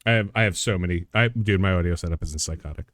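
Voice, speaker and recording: A man with a deep voice co-hosting a casual podcast, joking and swearing offhandedly, sitting a little back from his microphone. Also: fast, dry recording, clean studio sound. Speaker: podcast co-host. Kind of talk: casual chat. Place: home studio, streaming live.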